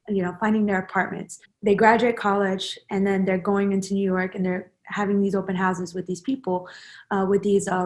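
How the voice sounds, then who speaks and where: Woman in her 20s, interview guest, loose rambling delivery with lots of ums and restarts. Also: mid-range voice. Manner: rambling, many fillers and restarts. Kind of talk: casual interview chat.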